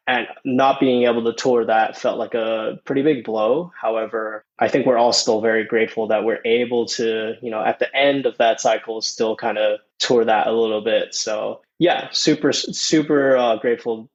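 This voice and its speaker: A man's voice: medium pitch, steady tone, normal speed, few pauses, lo-fi sound. A young man who grew up in Thailand, chatting casually as a guest musician, with lots of "dude" and "man". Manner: laid-back, many fillers like "dude" and "man". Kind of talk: casual interview chat.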